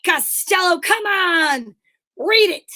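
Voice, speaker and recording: A woman's voice: extremely high pitch, animated, takes it slowly, bright, forceful tone, full character voice. A woman playing the bossy straight man of a comedy duo, prompting in an old-time radio comedy style. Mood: bossy, suspicious. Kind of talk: 1940s radio comedy acting.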